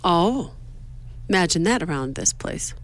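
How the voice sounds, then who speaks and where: A woman's voice: on the low side, animated, measured pace. A woman co-hosting a local radio show, chatting casually in a playful, teasing and somewhat scattered way from a studio.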